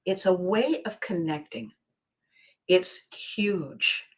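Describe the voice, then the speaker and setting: A woman with a slightly hoarse, scratchy voice hosting a casual motivational monologue, speaking expressively and with emphasis to her viewers. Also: fairly low pitch, takes it slowly. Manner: expressive, acting out bits of dialogue. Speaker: vlog host.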